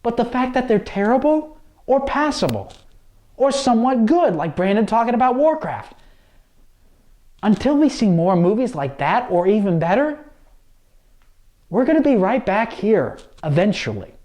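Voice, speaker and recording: A man's voice: high voice, very animated, moderate pace, pauses often, darkish tone. A man co-hosting a show, giving unscripted opinion commentary in an emphatic, earnest way. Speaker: show co-host.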